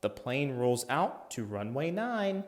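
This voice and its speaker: A man narrating a rhythmic children's picture book as an expressive read-aloud for young listeners. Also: medium pitch, slow, faint music behind.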